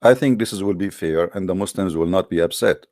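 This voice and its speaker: Male online debate host, non-native English speaker, low-pitched voice, dry close sound, informal and confrontational delivery. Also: a little fast, a little mellow, dry recording. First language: not English. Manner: challenging, confrontational, with rhetorical questions. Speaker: host of an online religious debate call-in show. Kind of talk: informal polemical monologue to live online audience.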